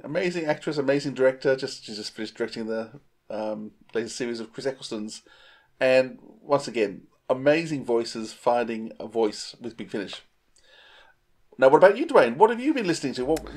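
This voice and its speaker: A middle-aged man with a medium-pitched voice chats as a podcast co-host. He reviews things with enthusiasm and emphasis, in an informal way, over a video call. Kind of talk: casual chat, enthusiastic reviewing. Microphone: earphones on a video call.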